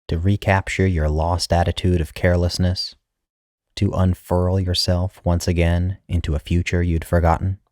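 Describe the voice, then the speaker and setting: A man's voice: deep voice, flat delivery, normal speed, a little mellow, no echo, clean studio sound. A man reading a literary short story as its narrator, in a scripted style.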